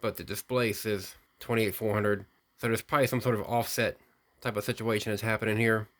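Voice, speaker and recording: A man giving an unscripted hands-on product demonstration, rambling technically and thinking out loud as he hosts a hobby video. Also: fairly low pitch, little pitch movement, a little fast. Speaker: radio hobbyist video host.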